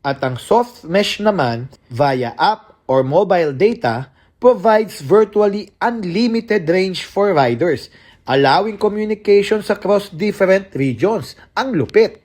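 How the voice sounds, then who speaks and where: A man's voice: sits high, animated, slow, a little mellow. A Filipino man reading scripted product narration as a voice-over. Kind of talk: scripted spec narration, mixing Tagalog and English.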